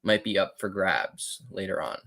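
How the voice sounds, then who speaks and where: Hesitant male host, low-pitched, filler-heavy. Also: normal speed, vocal fry, sharp tone. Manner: hesitant, many ums and restarts. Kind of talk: casual sports analysis.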